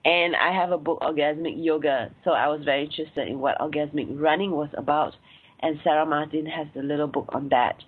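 A woman's voice: sits low, steady tone, brisk, breathy edge. A woman with a Singaporean accent hosting her podcast and chatting through past episodes with many fillers. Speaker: podcast host and sex coach. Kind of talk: solo podcast episode recap. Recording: tinny phone-like line.

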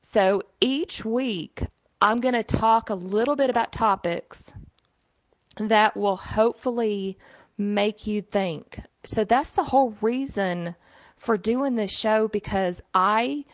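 A woman's voice: medium pitch, slow pace, dry recording. A middle-aged woman with a Southern US accent hosting her own show as a psychic medium, talking in a casual, folksy way with lots of "you know.". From southern United States. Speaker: podcast host, psychic medium. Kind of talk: casual solo monologue. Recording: dull, dry, slightly lo-fi.

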